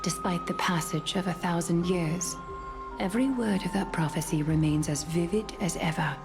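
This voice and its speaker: An actress playing a serene, dignified ageless female leader in a fantasy video game, measured, formal and cryptic. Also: fairly low pitch, over music, lightly acted. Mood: solemn. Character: serene, dignified thousand-year-old female leader with divine power. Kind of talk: dramatic video game acting.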